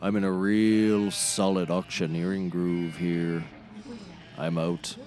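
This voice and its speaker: A man's voice: sits low, flat delivery, slow, darkish tone, faint music behind. An actor playing a folksy, rambling, sardonic livestock auctioneer in a scripted audio comedy, wordy and droll in his delivery. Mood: exasperated, sardonic.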